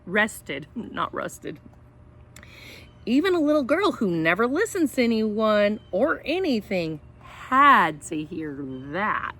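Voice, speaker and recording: A woman's voice: medium pitch, sing-song, slow, noisy background. A woman reading a picture book aloud for children in a playful, dramatic way, with chatty asides to the listeners.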